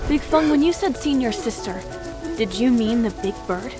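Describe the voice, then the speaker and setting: A woman plays a young wandering heroine in a fantasy game, her voice high and youthful. She is plucky and persuasive, always coaxing, over background music. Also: expressive, normal speed, lightly acted. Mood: curious, soothing. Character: plucky, pushy young female wandering martial hero. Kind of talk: dramatic game cutscene acting. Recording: music behind.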